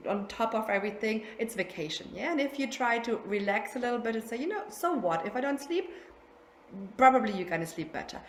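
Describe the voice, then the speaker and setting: A woman's voice: fairly high pitch, slight background noise. A German woman in her forties, a sleep consultant, chatting in a podcast interview and laughing now and then. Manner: chatty, laughs often, restarts words. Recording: roomy echo, faint ambience.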